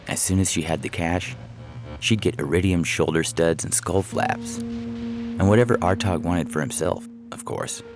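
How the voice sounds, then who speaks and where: A man's voice: sits low, measured pace. A man reading a humorous science fiction story as a scripted narration, with music playing behind him.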